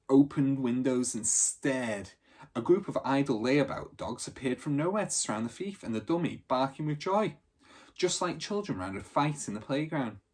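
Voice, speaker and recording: A man reading a children's story aloud in an expressive, dramatic narrating style. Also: medium pitch, breathy edge.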